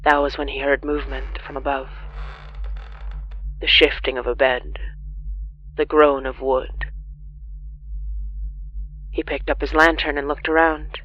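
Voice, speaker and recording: Horror audio fiction narrator: grave, deliberate, medium pitch, pausing between phrases, on a slightly muffled recording. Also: level tone. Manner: grave, measured delivery with deliberate pauses. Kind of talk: scripted dramatic horror narration.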